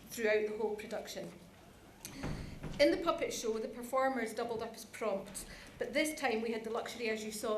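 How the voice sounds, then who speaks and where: High-voiced woman presenting in a roomy hall. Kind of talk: conference presentation. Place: large conference room. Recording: roomy, clearly noisy conference hall recording.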